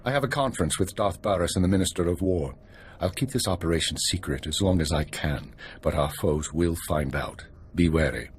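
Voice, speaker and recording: An actor playing a stern, weary older spymaster in a video game, giving orders in a measured, authoritative way. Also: male voice, deep voice, slight background noise, lightly acted. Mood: grave. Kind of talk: video game cutscene acting.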